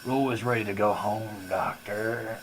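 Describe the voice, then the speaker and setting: A man's voice: on the low side, steady tone, measured pace, a little hiss or hum, lightly acted, poor audio. A man playing a dim, reckless, self-satisfied oaf with blunt, simple speech in a comic radio play.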